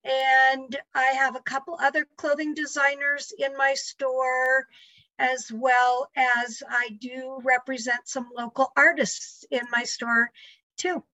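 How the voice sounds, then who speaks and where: A woman from Colorado with a high, bright voice introduces herself on a video call. She chats easily and explains things carefully. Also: level tone, slow pace, no room sound, poor audio.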